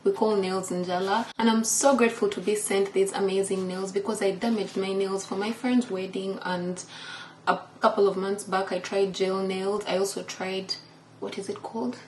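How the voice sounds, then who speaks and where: Casting: female lifestyle vlogger, informal and expressive, roomy home recording with noticeable noise. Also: mid-range voice, normal speed. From Uganda. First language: Luganda. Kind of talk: casual chatty lifestyle vlog. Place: indoors at home. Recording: echoey room with clear background noise.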